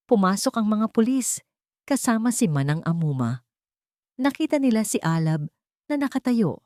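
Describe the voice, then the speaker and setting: Woman narrator, low voice, animated rise and fall, dry close sound, dramatic storytelling. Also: no echo. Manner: measured, dramatic pacing with expressive rises.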